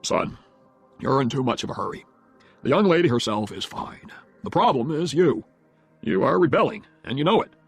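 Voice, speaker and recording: Stern patriarch played by a male narrator, formal, admonishing, very animated pitch, audiobook dialogue. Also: normal speed, gravelly, long pauses, husky, soft background music. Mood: stern, commanding. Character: stern, controlling wealthy father, older lawyer-like patriarch.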